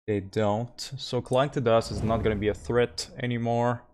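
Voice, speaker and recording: A man's voice: mid-range voice, little pitch movement, a little mellow, a little hiss or hum. Québécois-accented man thinking aloud. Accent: Québécois French. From Quebec, Canada. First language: French. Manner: thinking aloud, halting with many fillers; apologetic, easygoing asides to chat. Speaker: streamer. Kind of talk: live gameplay commentary.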